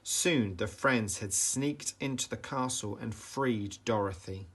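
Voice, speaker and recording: A man reading a children's bedtime story aloud with dramatic, deliberate emphasis for young listeners. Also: on the low side, fairly bright tone, slightly rough. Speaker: storybook reader, teacher.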